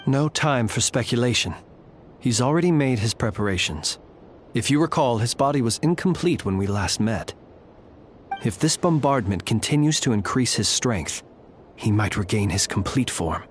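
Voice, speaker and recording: A man playing a composed, analytical warrior ally in a video game, speaking in a measured, formal manner. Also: mid-range voice, lively intonation, a little hiss or hum, natural voice. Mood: resolute.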